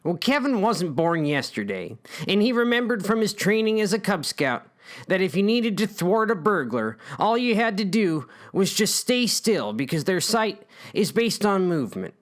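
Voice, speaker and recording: A man tells a comic story with a very animated, swinging pitch. His narration is informal and mock-dramatic, dropping into chatty asides along the way. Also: moderate pace.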